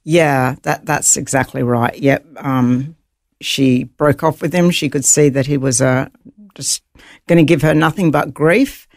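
A woman with a New Zealand accent, a guest telling her life story in an earnest, halting way with many fillers. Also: deep voice, steady tone, no room sound, clean studio sound. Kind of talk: personal testimony interview.

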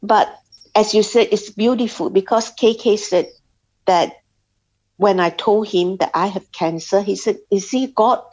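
A woman from Singapore telling her personal faith story as an interview guest, speaking haltingly with many pauses and restarts. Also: mid-range voice, a little mellow. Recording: slightly dull lo-fi sound.

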